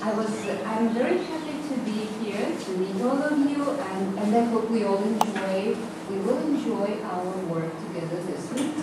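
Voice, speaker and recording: A woman's voice: medium pitch, expressive, normal speed, hardly any pauses. A woman from Lithuania with a Lithuanian accent, an English teacher introducing herself to a group. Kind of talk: informal self-introduction to a group. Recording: very echoey room, distant mic, noisy.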